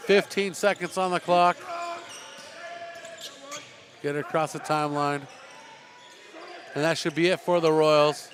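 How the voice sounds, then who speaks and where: A man's slightly high voice, the easy patter of a broadcaster who jokes and restarts his sentences. He calls the final minutes and then chats with a coach, recorded in a school gymnasium. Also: fairly even intonation, measured pace, pauses often. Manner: chatty, joking, frequent restarts. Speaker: play-by-play broadcaster. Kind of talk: sports commentary and postgame interview.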